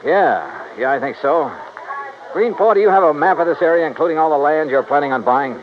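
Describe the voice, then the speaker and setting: Casting: male actor for hard-boiled investigator, clipped and blunt, lively pitch swings, vintage radio detective serial. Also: fairly quick, lightly acted. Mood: terse, insistent. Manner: clipped, blunt, matter-of-fact. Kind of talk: 1950s radio detective drama acting. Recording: dull, noisy vintage radio recording.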